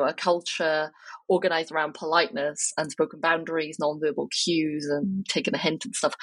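A woman's voice: low-pitched, slightly rough, no echo, low-quality recording. A young woman from Wales, talking reflectively as an interview guest, with many fillers and restarts. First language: Cantonese.

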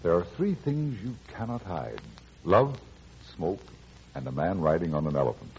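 An actor playing an easygoing, wry middle-aged farmer and suitor with an American accent in a classic radio drama. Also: male voice, low voice, sing-song, normal speed, gravelly, slight background noise, full character voice. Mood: amused, teasing. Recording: dull lo-fi old radio recording.

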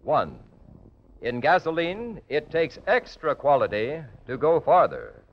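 Animated male vintage radio pitchman. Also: unhurried. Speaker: radio commercial announcer. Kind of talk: 1940s radio ad read, polished scripted pitch. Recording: dull vintage radio recording.

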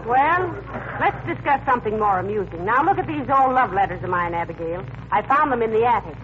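An actress playing a patient, wry middle-aged housewife who gently scolds, in 1940s radio comedy style. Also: female voice, a little high, animated, fairly quick, natural voice. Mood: sociable. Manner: dry, gently correcting. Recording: very noisy, dull old radio recording.

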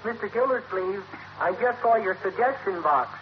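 A man's voice: sits high, animated, normal speed. An actor playing a dryly teasing egg delivery man in an old radio sitcom. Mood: wry. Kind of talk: 1940s-50s radio sitcom acting. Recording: muffled, noisy vintage radio recording.

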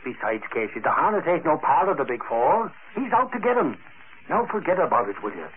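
An actor playing a blustery, impatient, folksy newspaper reporter in 1940s radio style. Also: male voice, fairly high pitch, big pitch swings, brisk. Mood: exasperated. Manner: folksy, ungrammatical, exclamatory. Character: blustery, impatient male newspaper reporter, thick-headed tough guy. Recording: tinny old radio broadcast, faint noise.

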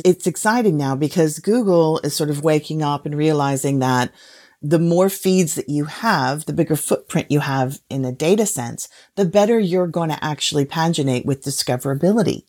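Low-voiced woman explaining in an interview. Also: moderate pace, a little mellow. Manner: explanatory, uses rhetorical check-in questions. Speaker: podcast industry guest expert.

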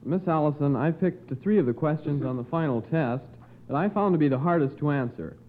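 A man's voice: on the high side, fairly quick. A sixteen-year-old young man formally reading out quiz questions as a guest challenger on radio. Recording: tinny, band-limited 1950s radio recording.

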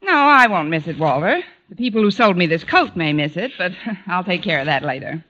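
An actress playing a wry high school teacher who tosses off dry sarcastic quips in a 1940s radio sitcom. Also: female voice, lively intonation, a little fast, pushed and bright. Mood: wry, obliging. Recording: old radio broadcast, dull, faint noise.

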